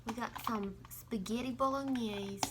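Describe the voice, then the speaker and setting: Woman from Finland chatting over clear noise. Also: fairly high pitch, a little slow. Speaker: mukbang vlogger. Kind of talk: casual chat while eating. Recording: noisy room sound behind the voice.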